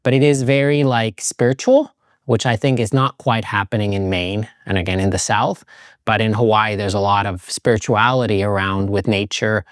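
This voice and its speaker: A man's voice: fairly low pitch, fairly even intonation, moderate pace, no room sound, clean studio sound. A middle-aged man from Finland, talking in a reflective, loose, casual vlog style. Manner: reflective, loose, hedging with "like" and "kind of". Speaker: vlogger.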